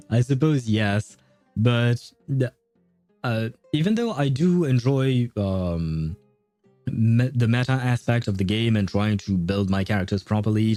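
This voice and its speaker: A man's voice: lowish voice, warm tone, no echo. A man livestreaming a video game, chatting casually with viewers in a conversational, meandering way.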